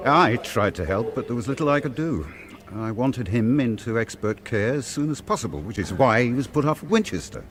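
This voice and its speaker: Stern male GP for radio drama, acted by a man: medium-pitched, very animated, educated wording, exasperated, faint background noise. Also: moderate pace, lightly acted. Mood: defensive. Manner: hesitant fillers between firm orders, formal wording. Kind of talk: naturalistic radio drama acting.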